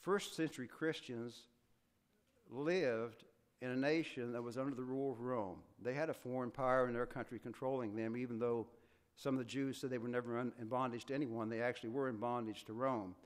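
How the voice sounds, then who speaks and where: An older man stands in for the regular minister and preaches a Sunday sermon in a church sanctuary. His voice is soft, and his delivery is earnest and measured, with occasional restarts. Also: mid-range voice, fairly even intonation, clean tone. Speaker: guest preacher.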